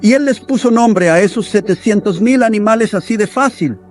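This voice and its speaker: A man delivering a sermon as a voice-over, in an earnest, steady preaching manner. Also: high-pitched, normal speed, soft background music.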